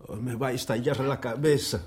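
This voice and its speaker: An actor in a radio play voicing a bitter, haughty writer, scornful and world-weary. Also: male voice, medium pitch, fairly even intonation, fast talker, a little mellow, lightly acted. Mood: weary, pained. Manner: haughty, declamatory.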